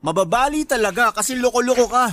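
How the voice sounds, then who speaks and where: A man playing a grumpy, mocking older brother in a Tagalog radio drama, irritated and rough. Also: very high-pitched, animated, fast talker, a bit bright. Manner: gruff, irritated.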